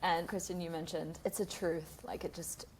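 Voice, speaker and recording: A woman's voice: fairly low pitch, flat delivery, moderate pace, a little hiss or hum, rough recording. A woman from New Zealand with an Australian accent, hosting a casual interview and laughing often between questions. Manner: giggly, chatty, lots of fillers. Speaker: podcast host.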